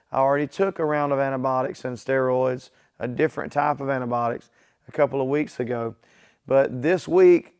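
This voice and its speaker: A man's voice: medium pitch, darkish tone, clear, resonant tone. A man hosting his own garage channel, talking casually to viewers in a plain, easygoing way, his voice weakened by illness. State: weak voice from illness. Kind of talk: casual workshop vlog talk. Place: home garage workshop.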